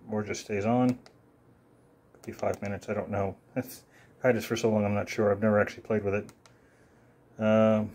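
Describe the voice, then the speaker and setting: Low-voiced man giving a casual demo. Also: a little mellow. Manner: offhand, halting, thinking aloud. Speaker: presenter of a gadget demonstration. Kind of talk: casual unscripted product demo. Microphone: phone held near the hands filming.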